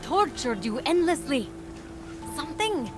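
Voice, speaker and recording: Eager high-voiced young heroine. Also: female voice, very animated, measured pace, over loud music. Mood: exasperated. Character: eager young heroic female warrior, earnest and impatient. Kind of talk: video game cutscene acting.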